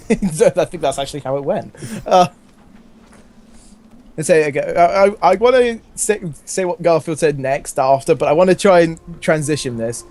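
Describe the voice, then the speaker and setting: A man's voice: a little high, normal speed, a little mellow. A man reading fan fiction aloud, mixing narration with casual, joking banter. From England.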